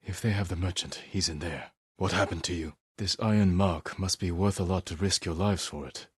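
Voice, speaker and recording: An actor playing a grave, resolute samurai warrior in a video game, speaking in measured, solemn, terse lines with a husky voice. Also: male voice, sits low, no room sound, clean studio sound. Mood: low, wary. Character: stoic, honour-bound samurai warrior, adult man, grave and resolute. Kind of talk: dramatic scripted game dialogue.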